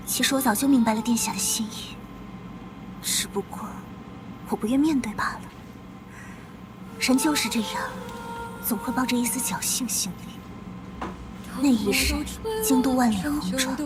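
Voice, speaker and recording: A woman voicing a melancholy young noblewoman who narrates her tragic love in an animated period romance. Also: on the high side, natural voice, rough recording. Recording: loud background music.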